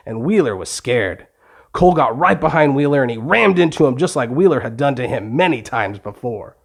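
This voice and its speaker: A man's voice: fairly high pitch, vocal fry. A man reading a scripted bedtime story for children, telling it in simple, explanatory terms. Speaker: narrator.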